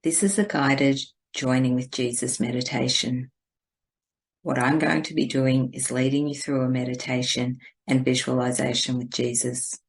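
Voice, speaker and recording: A woman leading a guided spiritual meditation in a gentle, deliberate, instructing manner. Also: rumbling low, little pitch movement, gravelly, breathy edge, rough recording.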